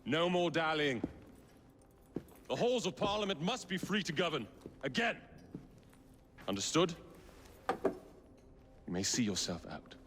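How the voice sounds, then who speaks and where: Suave, menacing Victorian tycoon, swinging pitch. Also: male voice, sing-song, noisy background. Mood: stern, dismissive. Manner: measured, sardonic, theatrical. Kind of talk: video game cutscene acting. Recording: music behind the voice.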